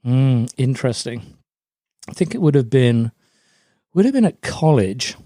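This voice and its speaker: A man's voice: medium pitch, sing-song, a little slow, mellow, dry recording, studio quality. A middle-aged man from Germany, raised in a British forces family, giving chatty, anecdotal answers as an interview guest. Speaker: podcast guest, LinkedIn brand coach.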